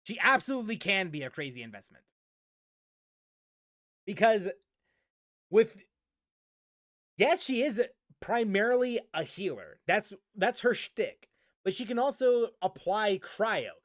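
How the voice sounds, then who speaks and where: A man's voice: sits high, big pitch swings, unhurried, long pauses, fairly bright tone, no room sound, studio quality. A man streaming casual game chat, rambling and often repeating his phrases for emphasis. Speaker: gaming streamer answering viewers. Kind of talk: casual livestream chat about games.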